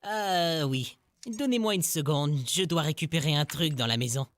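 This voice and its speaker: A man's voice: fairly high pitch, animated, normal speed, dry recording, clean studio sound. A man voicing an earnest, nervous and principled demon assassin in a cartoon dub, formal and a little hesitant. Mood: contrite. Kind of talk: animated series dub acting. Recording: loud music behind the voice.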